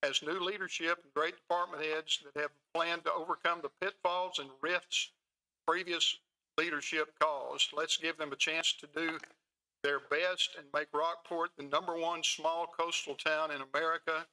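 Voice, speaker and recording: A man's voice: on the high side, level tone, moderate pace, pushed and bright, low-quality recording. A man chairing a council meeting as mayor, formally reading items aloud. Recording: public meeting recording, faint noise.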